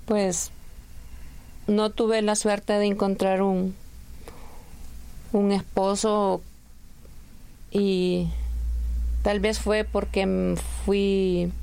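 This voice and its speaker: A woman's voice: medium pitch, gravelly, mellow, smooth. A middle-aged woman with a Honduran accent giving personal testimony in an interview, answering plainly and a little hesitantly.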